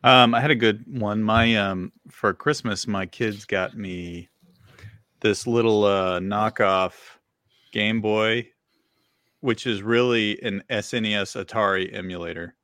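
Casting: middle-aged male podcast co-host, lowish voice, dry close sound, rambling and chuckly. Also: fairly even intonation, measured pace, fairly bright tone, dry recording. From Virginia. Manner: rambling, filler-heavy, laughs often. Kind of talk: casual chat.

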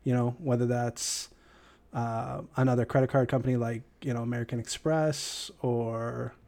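A man's voice: medium pitch, steady tone, normal speed, creaky, a little mellow. A man co-hosting a podcast in casual chat, talking in a rambling, hesitant way with joking asides. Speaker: podcast co-host.

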